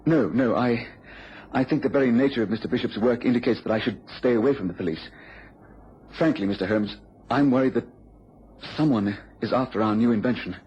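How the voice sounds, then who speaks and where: A man's voice: mid-range voice, brisk, slightly rough. Worried British man pleading for help. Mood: anxious, pleading. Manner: earnest, worried, stammering slightly. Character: anxious inventor's assistant pleading for a detective's help. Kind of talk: radio drama acting. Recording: dull, noisy radio recording.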